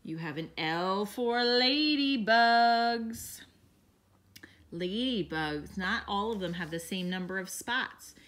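A woman teacher reading a picture book aloud to young children, gently and expressively, with gasps and hushed whispers. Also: medium pitch, lively intonation, slow pace.

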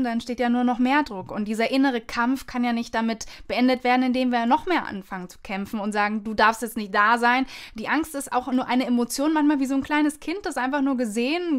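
A woman hosting a podcast interview, speaking in a conversational way. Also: on the high side. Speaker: podcast host.

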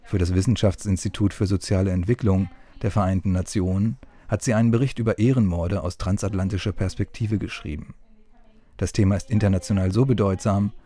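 Deep, mellow male narrator. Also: a little fast. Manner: even, formal reading. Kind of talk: scripted narration.

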